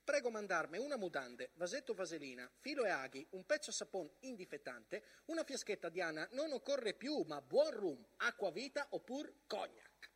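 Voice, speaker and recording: High-voiced, weary soldier. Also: male voice, very animated, moderate pace, few pauses, fairly bright tone, slightly rough, full character voice. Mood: insistent. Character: weary, bitter First World War soldier writing home and remembering. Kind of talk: theatrical monologue with dramatic narration.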